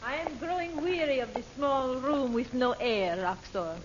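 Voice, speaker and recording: An actress playing a proud, defiant and desperate captive sorceress, formal and intense, in old-time radio melodrama. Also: female voice, high voice, normal speed, close to an everyday voice. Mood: weary, proud. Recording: muffled, noisy old radio recording.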